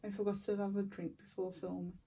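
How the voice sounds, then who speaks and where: A woman talks to her viewers while she colours, her voice a little croaky because she is losing it. Her tone is mellow and her pitch stays even. The mic sits a little way off, and there is a faint hiss of noise behind her. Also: quick pace, far from the mic. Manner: chatty, soft-spoken, thankful to viewers. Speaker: colouring channel host. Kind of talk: casual chat while colouring.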